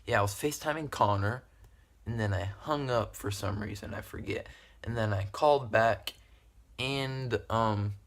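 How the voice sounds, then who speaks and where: A young man telling a story casually to camera in a rambling, giggly, repetitive way. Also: on the low side. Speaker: video creator telling stories.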